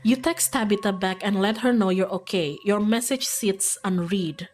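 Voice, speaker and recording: A woman's voice: mid-range voice, moderate pace. A woman streamer reading game narration aloud and dropping in casual reactions.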